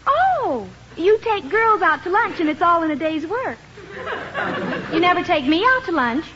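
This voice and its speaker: An actress playing a hurt, sarcastic young housewife in a 1950s radio comedy. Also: female voice, extremely high pitch, very animated, measured pace, crowd noise, heavily acted. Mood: indignant, sarcastic. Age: young adult. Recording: muffled, hissy 1950s radio recording.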